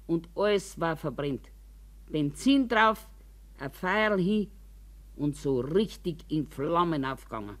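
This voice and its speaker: An older actress playing an embittered, quarrelsome and anxious old care-home resident in a radio monologue, with a Bavarian accent and a grumbling, emphatic, deliberate delivery. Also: female voice, medium pitch, natural voice. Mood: spiteful, grumbling. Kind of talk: dramatic radio monologue in colloquial dialect.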